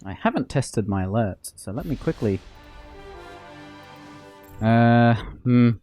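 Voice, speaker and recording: A man's voice: mid-range voice, expressive, slow, warm tone. A man who streams games, chatting casually and greeting viewers and friends over background music. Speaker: game streamer hosting a multiplayer session. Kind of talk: casual livestream chat.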